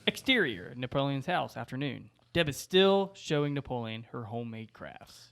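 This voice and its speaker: Lively man reading stage directions. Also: medium pitch, normal speed, creaky, a little hiss or hum. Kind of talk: scripted narration of a comedy table read.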